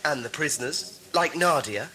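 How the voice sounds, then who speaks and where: A man's voice: on the high side, animated, normal speed, very noisy, close to an everyday voice. A man playing a sceptical, sarcastic young Earthman companion in a science fiction audio drama, full of questions. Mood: anxious.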